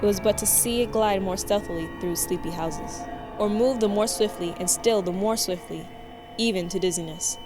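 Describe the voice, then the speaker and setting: A woman's voice: medium pitch, moderate pace, music in the background. A woman reading literary narration for a radio play in a formal storytelling manner.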